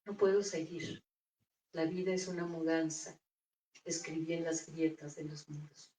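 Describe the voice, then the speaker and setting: A woman reading her own poems aloud in a measured, deliberate recital at a bookstore. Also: deep voice, flat delivery, mellow, distant mic. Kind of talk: poetry reading. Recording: echoey room, voice off-mic.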